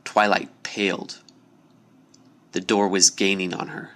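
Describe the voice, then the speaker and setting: A man's voice: low-pitched, level tone, a little slow, a bit bright. Man narrating a story dramatically.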